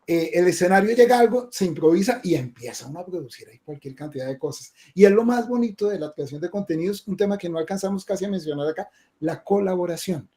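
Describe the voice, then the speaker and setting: A Colombian man hosting a webinar over a video call, a game streamer who chats casually and tags his remarks with quick asides. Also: sits high, animated, dark tone.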